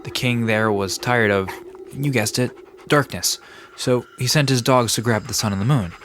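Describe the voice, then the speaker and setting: A man's voice: medium pitch, normal speed, a bit bright, faint music behind, lightly acted. A man playing a laid-back, bookish park resident in an audio drama, casually explaining myths with a dry manner. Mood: storytelling. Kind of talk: conversational audio drama storytelling.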